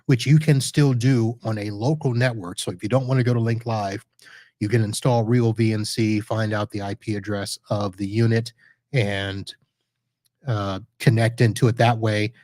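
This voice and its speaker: A man with a lowish voice talks through a live screen-share demo at his computer, chatty and loose, often correcting himself mid-sentence. The sound is dry and close, with no room echo. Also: fairly even intonation, moderate pace, a little mellow. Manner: chatty, self-correcting, thinks aloud while clicking. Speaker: podcast host reviewing network gear.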